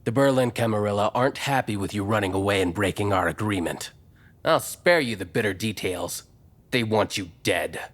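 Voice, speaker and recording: A man's voice: on the low side, normal speed, natural voice. A man playing a smug, cruel, mercenary vampire gang boss in an audio drama, taunting and businesslike. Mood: cold, threatening.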